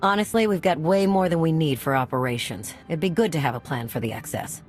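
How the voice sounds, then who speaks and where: An actress playing a no-nonsense smuggler in a game cutscene, teasing her partner in a deadpan way. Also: low voice, fairly quick, faint music behind, lightly acted. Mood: wry, unimpressed. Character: dry, no-nonsense female smuggler, the rogue's partner. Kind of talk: video game cutscene acting.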